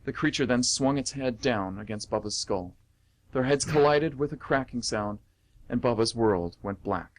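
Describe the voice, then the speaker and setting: Mid-pitched man narrating radio drama. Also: moderate pace. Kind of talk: dramatic story narration for amateur radio theatre.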